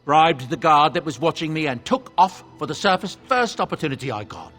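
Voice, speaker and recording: Genial dwarven trader for a fantasy game, chatty and self-justifying, lively higher-pitched delivery. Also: male voice, fairly quick, soft background music. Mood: defensive. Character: folksy, genial dwarven merchant, protective adoptive father. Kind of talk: video game character dialogue, storytelling.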